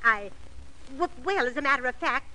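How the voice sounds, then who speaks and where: A woman's voice: sits high, animated, normal speed, bright, forceful tone. An actress playing a chatty, flustered, sociable woman who manages a building, hesitant and polite, in a classic radio drama. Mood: flustered, inviting. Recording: slightly noisy old radio recording.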